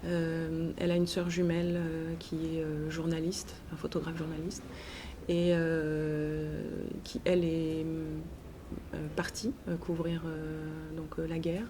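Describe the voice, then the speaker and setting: A woman answering interview questions in a hesitant, halting way with frequent pauses. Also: deep voice, monotone. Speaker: interviewed author and teacher. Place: book festival. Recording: noisy festival ambience. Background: clear ambient noise.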